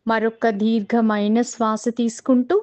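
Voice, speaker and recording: A woman with a Telugu accent guiding a meditation in a calm, measured way, pausing between short phrases. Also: on the high side. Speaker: meditation guide. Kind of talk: guided meditation instruction.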